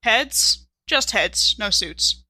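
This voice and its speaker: A woman's voice: mid-range voice, expressive, drawn-out words, sharp tone, no echo, exaggerated voice. A woman playing a wry, flustered detainee in an improvised tabletop game, speaking hesitantly but precisely with dry sarcasm. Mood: flustered, insistent.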